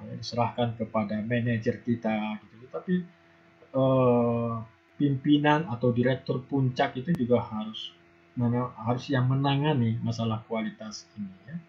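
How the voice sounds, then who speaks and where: A hoarse man giving an online university lecture, pausing often with fillers, heard over a video call. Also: medium pitch. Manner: hesitant, many filler pauses. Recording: dull lo-fi video call audio.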